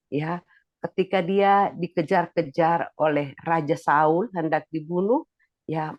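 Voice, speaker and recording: A preacher giving a sermon with a stuffy, nasal voice while recovering from illness, pitching up and getting louder as they explain a point. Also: highs cut off. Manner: rises in pitch and volume when explaining.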